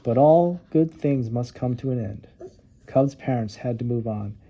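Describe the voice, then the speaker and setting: A man narrating a children's storybook with expressive, dramatic emphasis on key words. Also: mid-range voice, a little slow, lo-fi sound. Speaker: storytime reader.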